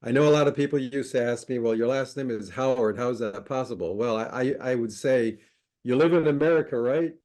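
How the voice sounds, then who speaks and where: A middle-aged man from Colombia, a podcast guest chatting casually, relaxed, with frequent fillers and easy laughter. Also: medium pitch, a little fast. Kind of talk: casual interview chat.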